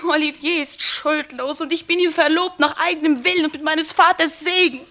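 A distraught young goldsmith's daughter with a very high voice, devoted to her betrothed. Her delivery is fervent and theatrical, in the manner of a 1950s radio play. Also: fairly even intonation, fairly quick. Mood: anguished, fervent. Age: young adult. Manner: fervent, theatrical, breathless dramatic delivery with hushed passages. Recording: 1950s radio drama studio recording.

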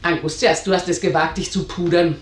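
A woman's voice: fairly low pitch, steady tone, a little fast, heavy background noise, natural voice. An actress playing a stern, scornful, domineering mother in a radio drama. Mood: stern reproach. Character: tyrannical, domineering mother ruling her adult daughters. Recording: roomy, reverberant radio drama sound.

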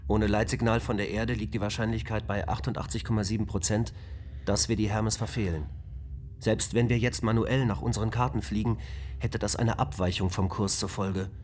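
An actor playing a calm male onboard computer of a spaceship, matter-of-fact and logical in a radio drama. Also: on the low side, moderate pace, slight background noise, lightly acted. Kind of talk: German radio drama acting.

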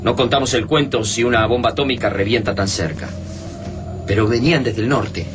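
A man's voice: mid-range voice, brisk, over music, natural voice. An Argentine actor in a radio drama playing a resolute resistance comrade, earnest and insistent as he persuades. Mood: earnest, persuasive. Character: Fava, resolute persuasive male comrade in alien-war resistance. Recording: clearly noisy radio drama ambience.

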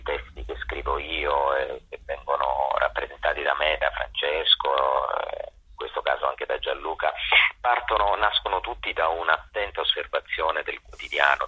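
Very deep, husky man on phone. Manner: halting, searching for words, repeating phrases. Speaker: interview guest, playwright and actor. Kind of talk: phone interview, explaining his work. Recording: muffled telephone line.

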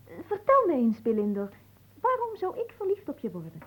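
A high-voiced, imperious young lady of noble birth in a comic radio play. Her melody leaps about theatrically as she teases and demands, haughty and easily wounded. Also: wildly swooping pitch, a little fast, poor audio. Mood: mocking, coquettish. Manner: theatrical, haughty, swooping between teasing and wailing. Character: capricious, oversensitive young noblewoman, teasing and imperious.

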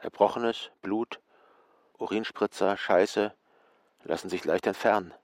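An actor voicing a bleak, detached man in a German radio play, speaking in deliberate fragments over guitar music. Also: on the low side, close to an everyday voice. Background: loud plucked guitar music.

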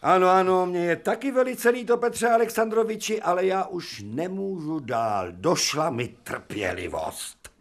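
A man's voice: high-pitched, expressive, few pauses. An actor playing an imperious, ironic male professor in a radio play, curt and sardonic with an unbending authority. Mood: exasperated.

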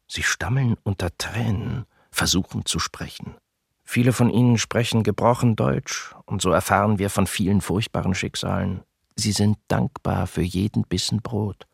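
Male wartime reporter: solemn radio docudrama read, husky, gravelly, dry studio. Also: on the low side, normal speed, dry recording, heavily acted. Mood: solemn, pitying.

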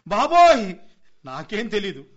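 Man acting swooping, high, pleading petty thief. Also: huge pitch swings, measured pace, full character voice. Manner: pleading, plaintive, theatrical. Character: whining, sly brother-in-law, a petty thief protesting innocence. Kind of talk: Telugu comedy radio play acting. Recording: dull lo-fi radio play recording.

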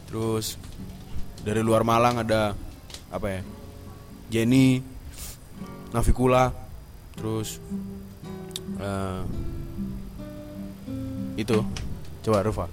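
Slow-talking man over music. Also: lowish voice, fairly even intonation. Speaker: band musician being interviewed. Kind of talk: casual chat. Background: music playing.

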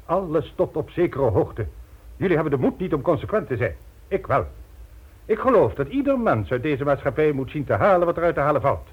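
A smug crime syndicate boss posing as a gentleman philanthropist. He is mockingly polite and pompous, with swooping, animated intonation. It is an old radio drama with a slightly dull, muffled sound. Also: fairly high pitch, a little fast, breathy edge. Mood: grandiose, self-assured. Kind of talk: radio play acting.

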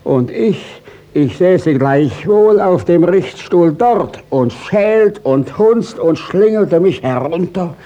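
A man playing a shifty, battered village judge in a German radio play, blustering and stammering evasively with a husky voice. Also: high-pitched, expressive, slow pace, warm tone, lo-fi sound. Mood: uneasy, gloomy. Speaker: actor in a radio drama.

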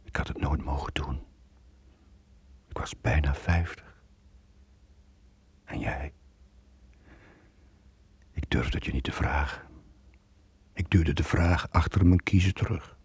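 An actor playing a weary, guilt-ridden middle-aged sailor with a Flemish accent, in a brooding radio drama style with a husky, breathy voice. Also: male voice, rumbling low, a little mellow, dry recording, heavily acted. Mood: brooding, regretful. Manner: brooding, halting confession. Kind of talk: 1980s Dutch-language radio drama acting.

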